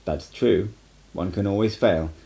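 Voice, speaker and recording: A man voicing a stern, cryptic old sorcerer teacher in an audiobook, lecturing in a measured, emphatic way. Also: sits low, creaky, mellow. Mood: firm, ominous. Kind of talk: amateur audiobook character reading.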